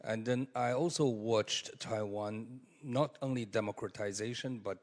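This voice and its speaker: A man from China giving halting remarks as a conference panelist, with many fillers and rising emphasis. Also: on the low side. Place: conference hall. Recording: long hall reverb.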